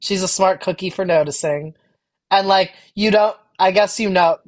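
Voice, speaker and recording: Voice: mid-range voice, normal speed. A podcast guest of indeterminate sex chatting casually, with amused, laid-back reactions. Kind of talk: casual chat.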